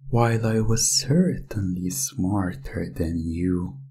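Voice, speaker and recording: A man playing a smug, controlling owner of a magic gem in a hypnosis ASMR roleplay, hushed, coaxing and condescending. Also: low-pitched, breathy edge, soft background music, heavily acted. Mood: smug, possessive. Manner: slow, hushed, coaxing and condescending, slips into whispers. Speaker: roleplay audio performer.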